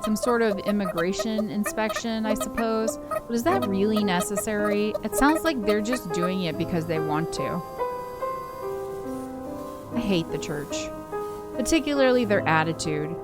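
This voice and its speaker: A woman plays a young ghost girl narrating a visual novel in a mid-pitched voice. She is brooding, sardonic and hazy-headed, musing to herself. Clear background music plays behind her. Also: big pitch swings, a little slow. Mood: resentful. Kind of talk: visual novel read aloud, introspective first-person narration. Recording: prominent background game music.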